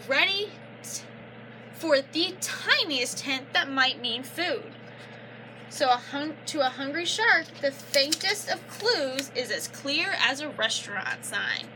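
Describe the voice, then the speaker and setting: A young woman reading a children's picture book aloud like a teacher, pausing to explain and prompt with questions. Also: high voice, pushed and bright, a little hiss or hum, low-quality recording. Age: young adult. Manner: instructive, prompting listeners with questions and affirmations.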